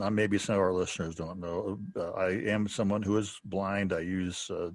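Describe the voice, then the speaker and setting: Husky low-voiced man hosting a podcast. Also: no echo. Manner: halting, chatty, chuckles often. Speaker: podcast co-host. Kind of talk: casual, conversational interview hosting.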